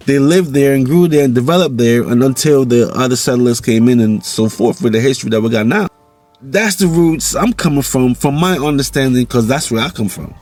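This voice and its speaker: A man's voice: medium pitch, a little mellow. A man from Jamaica with a Jamaican accent, talking loosely in a casual vlog monologue and often tossing in "you know". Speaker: vlog host.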